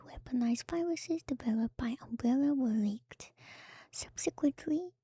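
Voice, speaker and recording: A woman streamer whispering softly and breathily in ASMR style, reading aloud and musing in casual asides close to the microphone. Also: on the high side, slightly rough. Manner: slow, musing, conversational asides. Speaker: streamer hosting a lore read-through. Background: music.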